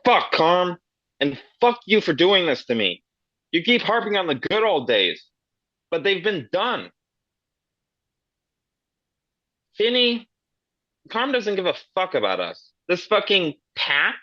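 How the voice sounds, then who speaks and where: A crude, swaggering, foul-mouthed guy, read aloud by a man at a table read, his pitch swinging lively up and down. The recording sounds a little dull and lo-fi, and the room is dry. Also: measured pace, no echo, exaggerated voice. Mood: angry. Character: brash foul-mouthed grown man, loyal friend. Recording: slightly dull lo-fi.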